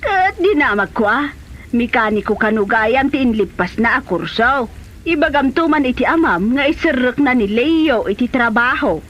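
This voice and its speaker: A woman's voice: on the high side, lively intonation, normal speed. A woman playing a chatty, meddling but well-meaning middle-aged mother in a comedy drama, with a Filipino Ilocano accent. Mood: approving, persuasive. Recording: dull, noisy location sound.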